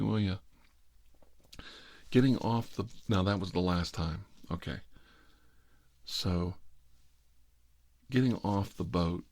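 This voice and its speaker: A man's voice: low voice, darkish tone, no echo. A middle-aged American man rambling casually through an anecdote with comic exasperation, acting out the dialogue as he goes. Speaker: storytelling host.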